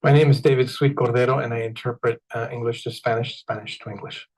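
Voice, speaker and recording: Articulate man, medium-pitched voice. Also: fairly even intonation, fast talker, darkish tone. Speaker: court interpreter. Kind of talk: documentary interview.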